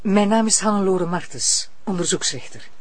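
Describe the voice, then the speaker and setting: A woman's voice: medium pitch, normal speed, close to an everyday voice. An actress plays a female investigating judge in a television crime drama. She is composed and persistent, questioning politely but pointedly in a mid-range, controlled voice. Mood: polite, probing. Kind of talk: naturalistic TV crime drama acting.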